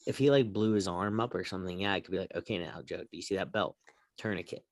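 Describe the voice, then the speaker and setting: A man's voice: deep voice, quick pace, creaky, no echo. A man on a sports show panel, chatting and telling stories casually with a dry, snarky edge. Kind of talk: casual banter and storytelling.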